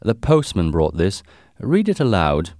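A man voicing a brilliant, brisk English gentleman detective in an audiobook, commanding, precise and confident. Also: low voice, huge pitch swings, no room sound, studio quality, heavily acted. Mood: brisk, assured. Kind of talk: audiobook character dialogue.

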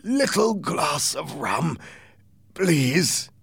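Weak, gruff old seafarer: raised raspy pitch, crackling fry, laboured urgency. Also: male voice, sing-song, extremely slow, fairly bright tone, no room sound, heavily acted. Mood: pleading. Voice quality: gravelly, raspy. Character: weak, gruff, ailing old sea captain.

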